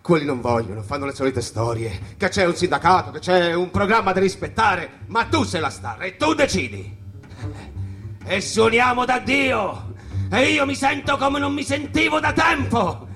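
High-pitched, fervent jazzman. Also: lively intonation, normal speed, creaky, heavily acted. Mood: exalted. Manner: impassioned, insistent. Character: fervent male jazz musician reliving his glory on stage. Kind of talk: theatrical dramatic monologue over jazz. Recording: loud music bed under the voice. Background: jazz music.

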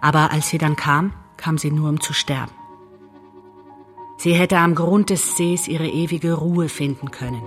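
A woman's voice: low-pitched, fairly even intonation, unhurried. An actress playing a weary, bitter woman confessing in a letter, an intimate radio-play monologue. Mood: bitter, resigned. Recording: music underneath the voice.

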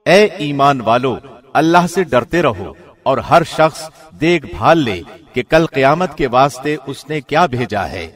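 A man with a medium-pitched voice reads translated scripture aloud. His delivery is formal and measured, like a professional radio presenter working from a script. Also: lively intonation. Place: studio.

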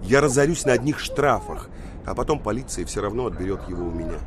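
Actor as a troubled young engineer, deep voice. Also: male voice, animated, fast, natural voice. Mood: exasperated. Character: young engineer, troubled, fond master of a wolf. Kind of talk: Russian audio drama acting. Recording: faint vehicle and ambience noise.

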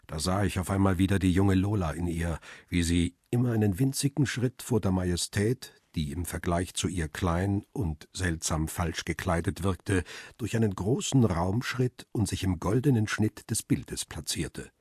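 An actor playing a world-weary middle-aged photographer who narrates a crime radio play in a measured, reflective way. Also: male voice, low-pitched, darkish tone, husky, dry recording, clean studio sound. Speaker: first-person narrator.

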